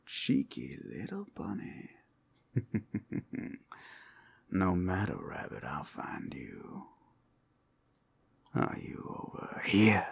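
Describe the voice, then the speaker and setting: A man voicing a teasing, possessive male werewolf in an ASMR roleplay, in a breathy, growling, playful murmur. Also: heavily acted. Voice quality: gravelly, breathy, low.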